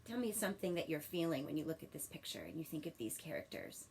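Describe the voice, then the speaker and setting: A woman teacher reading a children's story expressively and pausing to ask questions in a deliberate, instructive manner. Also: low voice, very animated, vocal fry, a little mellow, a little hiss or hum.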